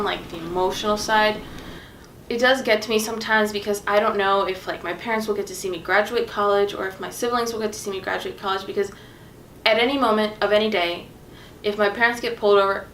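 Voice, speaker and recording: Young woman from Venezuela, flat earnest delivery, distant mic, roomy and noisy. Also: medium pitch. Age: young adult. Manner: earnest, frequent "like" fillers. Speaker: interviewee. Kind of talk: personal testimony. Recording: echoey room, mic at a distance, noise behind.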